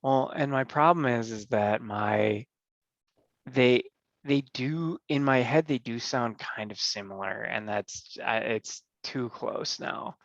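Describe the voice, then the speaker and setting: A man's voice: mid-range voice, slow, no room sound, highs cut off. A man chatting casually with friends after a tabletop game, laid-back, laughing easily and swearing often. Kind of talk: casual chat among friends.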